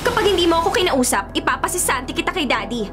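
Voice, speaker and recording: A woman's voice: sits high, big pitch swings, fast. A Filipino-accented woman playing a spoiled, headstrong young daughter of a mayor in a radio drama, demanding and petulant. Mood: demanding, irritated. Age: young adult. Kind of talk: radio drama acting with Taglish dialogue. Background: music.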